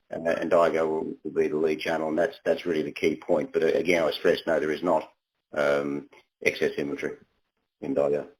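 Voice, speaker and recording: A man's voice: a bass voice, rough recording. A man speaking as a company executive on an earnings call, answering in corporate phrasing with frequent halts and repeated words.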